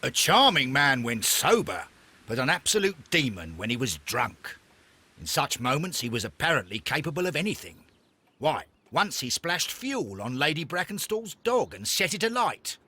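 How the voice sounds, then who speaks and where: Victorian inspector, game. Raspy, forceful, earnest, emphatic teller of scandal. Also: male voice, medium pitch, big pitch swings, normal speed, exaggerated voice. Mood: grave, emphatic. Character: earnest, gossipy Victorian English police inspector. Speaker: police inspector. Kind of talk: video game voice acting.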